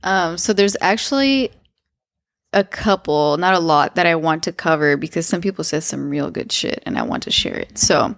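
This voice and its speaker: A woman's voice: deep voice, animated, normal speed, gravelly, dry recording, clean studio sound. A woman co-hosting a podcast in chatty, casual talk with lots of fillers. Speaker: podcast co-host. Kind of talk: casual chat.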